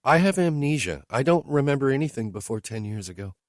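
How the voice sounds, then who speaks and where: A man's voice: medium pitch, sing-song, brisk, no room sound, studio quality, pitched above the performer's own voice, close to an everyday voice. A man voicing a blunt, direct young woman in her late twenties, speaking in a raised voice for her. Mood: questioning. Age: late 20s. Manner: blunt, straight to the point.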